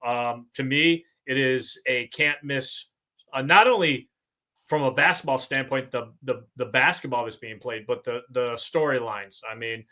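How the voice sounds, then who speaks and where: A man's voice: medium pitch, unhurried, sharp tone. An American man hosting a casual sports podcast, speaking as a sportswriter with a wry, self-deprecating manner.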